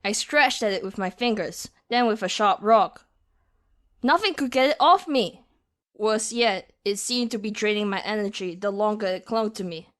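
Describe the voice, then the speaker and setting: A woman's voice: medium pitch, moderate pace. A young woman reading a story aloud as an amateur voice actor, often laughing and restarting her lines. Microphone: home microphone that cuts out.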